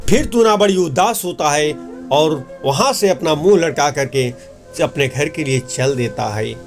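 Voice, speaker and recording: A man's voice: on the high side, lively intonation, measured pace, faint music behind. A man narrating a scripted moral story for a cartoon, as a storyteller.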